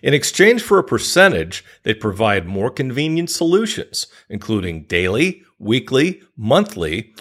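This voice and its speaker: A man's voice: mid-range voice, fairly bright tone, breathy edge. A man hosting a podcast, reading scripted promos and introductions and putting questions to a guest. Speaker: podcast host.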